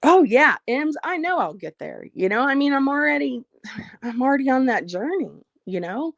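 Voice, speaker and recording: A woman hosting a casual livestream, reading out viewer comments and speaking frankly about her life, slightly hoarse as she loses her voice. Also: high-pitched, big pitch swings, moderate pace, no echo, highs cut off. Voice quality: frank, blunt, swears freely. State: losing her voice, a bit hoarse. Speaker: livestream host.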